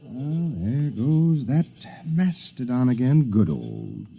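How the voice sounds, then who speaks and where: An actor playing a wry, easygoing male time traveler in a 1950s radio drama, animated in delivery. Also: mid-range voice, slow pace, gravelly, husky, exaggerated voice. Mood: musing. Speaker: Wes, time traveler stranded in prehistory. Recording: dull lo-fi 1950s radio broadcast.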